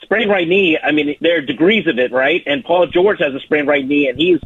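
Male sports reporter, slightly high and rough-voiced, off the cuff, tinny phone-style audio. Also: sing-song, brisk, no room sound. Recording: thin, muffled phone-like line.

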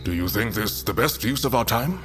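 Low-voiced stern fantasy patriarch. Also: male voice, lively intonation, a bit bright, faint music behind. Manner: formal, deliberate, authoritative. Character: stern, measured patriarch ruling a fantasy mountain council. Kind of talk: fantasy audio drama acting.

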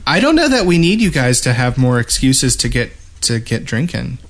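Casual male podcast co-host, average pitch, conversational banter. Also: very animated, moderate pace, a little hiss or hum.

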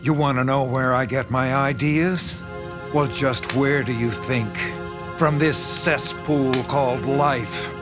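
An actor playing a sinister, obsessive horror writer with a coaxing, intense, condescending manner in a radio drama. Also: male voice, medium pitch, steady tone, slow pace, natural voice. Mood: frenzied, cruel. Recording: dramatic music behind the voice.

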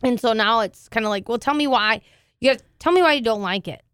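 A woman with a raspy, deep voice, chatting casually as podcast co-host, loud and quick to blurt things out. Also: no room sound, clean studio sound. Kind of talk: casual chat. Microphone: studio mic with headphones.

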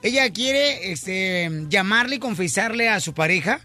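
Bright high-voiced playful radio host man. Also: expressive, unhurried. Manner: playful, loud, teasing. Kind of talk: morning radio banter.

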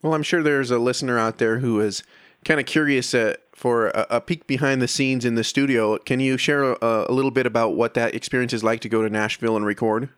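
A man's voice: medium pitch, fairly even intonation, a little fast, fairly bright tone, clean tone, dry recording. Male interviewer, dry studio-like sound. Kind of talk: conversational interview questions.

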